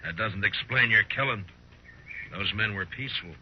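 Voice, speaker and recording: Male actor for plain-spoken frontier marshal, 1950s radio western. Low, world-weary, clipped lines; muffled archival sound, slight noise. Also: moderate pace, lightly acted. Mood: firm, challenging. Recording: dull, faintly noisy 1950s radio recording.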